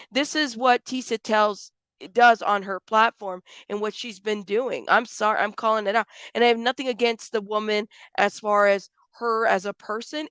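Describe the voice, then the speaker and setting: A woman hosting a live commentary stream, stuffed up and a little hoarse, talking bluntly and chatting with viewers by name. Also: mid-range voice, fairly bright tone, no echo. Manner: blunt, frank, calls people out, swears; chatty, greets viewers by name, many fillers. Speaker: YouTube commentary host.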